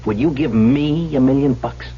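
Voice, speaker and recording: A man's voice: medium pitch, normal speed, natural voice. An actor playing a pushy, streetwise young man making a hard sell in a 1970s radio drama. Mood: insistent, hustling. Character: pushy young male hustler, hard-boiled and insistent. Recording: lo-fi old radio broadcast, faint noise.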